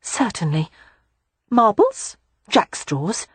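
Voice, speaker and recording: A woman's voice: medium pitch, slow pace, husky, dry recording, voice pushed up, exaggerated voice. A woman voicing a playful, wry young woman. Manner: wry, lively.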